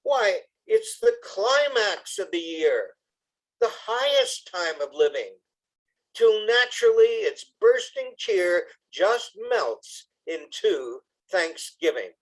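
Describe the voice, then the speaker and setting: Male poetry reader and presenter, slightly high register, very unhurried delivery, measured and expressive. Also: rough recording. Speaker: congregation member reading poetry. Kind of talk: poetry recitation and informal introduction to an audience.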